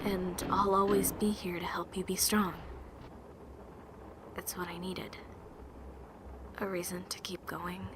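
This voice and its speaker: A stoic, protective young woman played by a woman in a fan audio drama. Her voice is on the low side and very unhurried, soft and measured. The amateur recording has clear noise behind it. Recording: clearly noisy amateur voice track.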